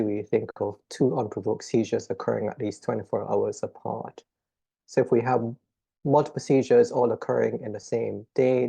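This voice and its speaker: A middle-aged man with a Jamaican accent giving a measured, explanatory conference lecture as a children's neurologist, pausing often on "um". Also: medium pitch, vocal fry, dark tone, breathy edge. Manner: measured, explanatory, frequent "um" pauses.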